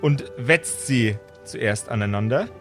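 A man narrating an improvised tabletop role-play as game master, with deliberate, theatrical description. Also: medium pitch. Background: faint music.

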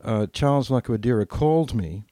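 A man's voice: mid-range voice, lively intonation, moderate pace, dark tone, dry recording, clean studio sound. An older man telling anecdotes casually as a podcast co-host, acting out bits of dialogue as he reminisces.

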